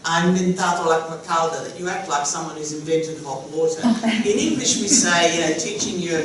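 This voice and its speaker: A woman's voice: low voice, far from the mic. A middle-aged woman from Sicily, with Sicilian as her first language, giving a chatty, wry conference talk as an educator. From Sicily, Italy. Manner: chatty, wry, laughs at her own jokes. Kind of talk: conference presentation. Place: conference room. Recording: very echoey hall, voice from afar, faint hum.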